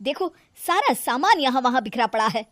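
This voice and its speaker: A woman's voice: sits high, big pitch swings, normal speed, no room sound. A woman acts out an exasperated young husband in a cartoon moral tale. The delivery is reproachful and nagging, recorded dry and close. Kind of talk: cartoon moral-story voice acting.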